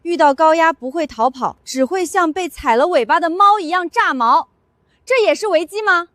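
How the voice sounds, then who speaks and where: Feisty flustered anime office girl, high voice, dry sound, indignant and challenging. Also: lively intonation, normal speed, fairly bright tone, no room sound. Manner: indignant, challenging rhetorical questions. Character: feisty, flustered young female office planner in love. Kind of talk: animated romance drama dubbing.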